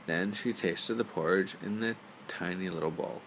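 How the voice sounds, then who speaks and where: A man reads a bedtime story as narrator. His voice is low with plenty of fry, and there is faint noise behind it. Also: normal speed, a bit bright, rough recording. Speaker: storyteller, father reading aloud.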